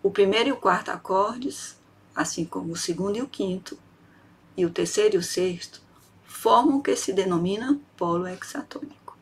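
A woman with a Brazilian Portuguese accent, a piano professor reading an academic lecture in a measured, precise way. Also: medium pitch, slight background noise.

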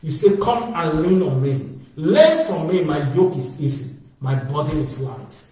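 Fervent preacher in a very echoey space. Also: male voice, a little high, sing-song, unhurried, dark tone. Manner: fervent, repetitive calls with rising emphasis. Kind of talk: evangelistic sermon. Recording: very echoey, distant from the mic.

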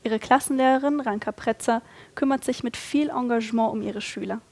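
A young woman presenting a campus news magazine in a clear, measured scripted read. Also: on the high side, darkish tone.

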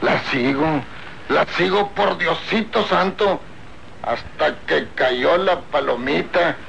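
A man playing a brutish, lecherous rural bandit with a gravelly voice and a Mexican accent in a radio soap opera. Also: fairly high pitch, takes it slowly, a bit bright, heavily acted. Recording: dull, noisy old radio serial recording.

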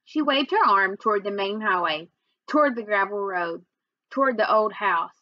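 A woman's voice: medium pitch, lively intonation, moderate pace, no echo, dull audio. A young adult woman narrating a children's book as a read-aloud, recorded indoors on a webcam. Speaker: reader. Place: small room at home, indoors.

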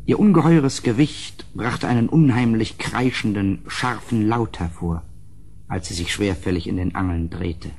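An actor playing an uneasy gentleman who narrates a gothic radio play in a measured, ominous, slightly husky voice. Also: on the low side, very noisy. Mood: somber, ominous.

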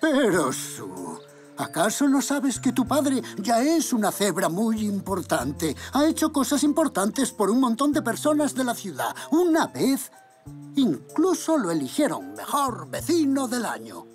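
A woman voicing a kindly older female zebra grandmother in a dubbed cartoon, speaking gently and reassuringly. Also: a little high, huge pitch swings, a little slow. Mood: gentle. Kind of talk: cartoon dub acting. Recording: soft music under dialogue.

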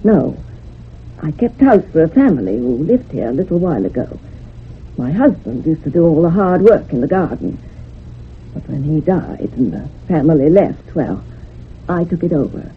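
An actress playing a widowed, house-proud village housekeeper, chatty and matter-of-fact, in a radio drama. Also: female voice, low voice, sing-song, normal speed, slight background noise. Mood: explaining. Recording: muffled lo-fi old radio recording.

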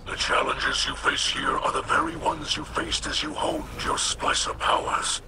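A man voicing a solemn, devout alien warrior-mystic in a video game, with a breathy, gravelly whispering growl, speaking reverently. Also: extremely high pitch, big pitch swings, moderate pace, very noisy, exaggerated voice. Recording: music behind the voice.

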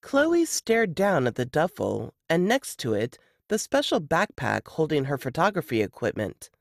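A man's voice: fairly high pitch, huge pitch swings, normal speed, creaky, dry recording, clean studio sound. A man reading scripted suspense fiction as an audiobook narrator.

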